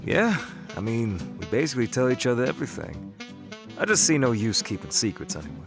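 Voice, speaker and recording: A man voicing an easygoing young casino dealer in an animated series, casual and offhand. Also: medium pitch, a little fast, music in the background. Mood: frank. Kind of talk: animated web series voice acting.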